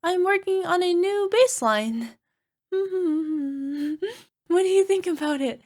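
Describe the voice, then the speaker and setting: A woman's voice: very high-pitched, slow, a little mellow. A woman playing a small, sheepish cyclops monster girlfriend in an ASMR roleplay, wheedling and making cutesy, defensive excuses. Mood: nervous, cheerful. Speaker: voice actor.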